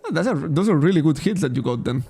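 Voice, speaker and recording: Gravelly Catalan-accented man, rambling casually. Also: fairly high pitch, lively intonation, fast talker, mellow. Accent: Catalan-accented English. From Spain. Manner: rambling, self-correcting, laughs often. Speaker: trading card shop streamer. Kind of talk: casual livestream chat.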